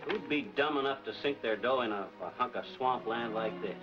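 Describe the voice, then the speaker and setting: An actor playing a sheepish, grumbling, gullible landowner in a 1940s comedy film. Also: male voice, mid-range voice, a little fast, lightly acted. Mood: rueful. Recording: muffled old film soundtrack with music.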